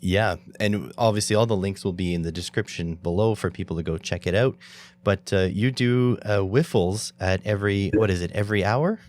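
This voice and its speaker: A man from Canada hosts a casual podcast in a fairly low voice. He chats in a friendly, enthusiastic way and often uses fillers. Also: normal speed, darkish tone. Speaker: podcast host. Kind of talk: casual interview chat.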